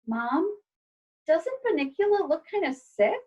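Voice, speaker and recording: A woman's voice: extremely high pitch, big pitch swings, a little slow, muffled recording, pitched above the performer's own voice, heavily acted. A woman voicing a worried young boy in a storybook, earnest and pleading. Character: young boy, worried about his pet rabbit.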